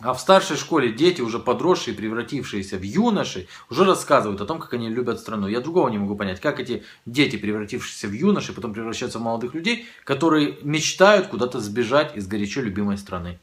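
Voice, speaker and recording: A man's voice: mid-range voice, expressive, fast talker, few pauses, fairly bright tone. Ironic male commentary voice-over. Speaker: video blogger commenting on street interviews.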